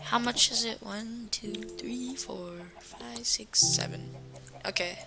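A woman's voice: fairly high pitch, slow, creaky, over music. A young woman hosting casual gameplay commentary, talking to viewers in a self-deprecating way. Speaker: gaming video host.

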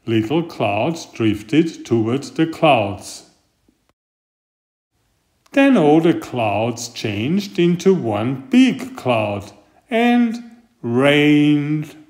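A man reading a children's picture book aloud in a deliberate, expressive storytelling manner. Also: a little high. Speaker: storybook reader and author.

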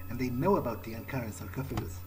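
A man playing a cocky, sardonic vampire who thinks aloud haltingly in a video game playthrough. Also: medium pitch, a little mellow, faint music behind, lightly acted, low-quality recording. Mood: musing. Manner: musing, halting, muttered asides. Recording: clear background noise, game ambience.

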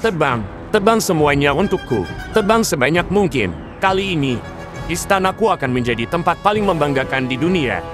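Pria memerankan raja serakah yang sombong. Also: male voice, fairly high pitch, lively intonation, moderate pace, music in the background, lightly acted. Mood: arrogant. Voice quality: boastful, commanding. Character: arrogant greedy middle-aged male king. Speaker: king. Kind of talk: dubbed cartoon voice acting.

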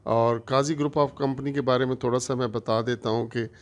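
A man's voice: medium pitch, steady tone, fairly quick. A middle-aged man giving a formal introduction as a company operations manager, steadily listing items one by one, speaking into a handheld mic in a conference room.

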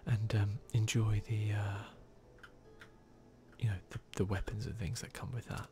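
A man hosting an ASMR video game playthrough, commenting in a hushed voice that drifts between soft speech and whispering, close to the microphone. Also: lowish voice, flat delivery, unhurried, soft background music. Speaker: gaming video host.

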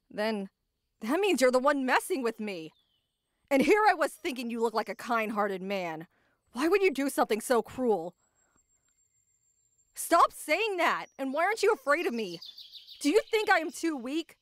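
A woman's voice: sits high, big pitch swings, moderate pace, a bit bright, no echo. Woman acting a proud, guarded lady knight, dry recording. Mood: indignant. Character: tough, proud female knight, guarded and self-doubting about love. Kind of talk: anime ASMR roleplay acting.

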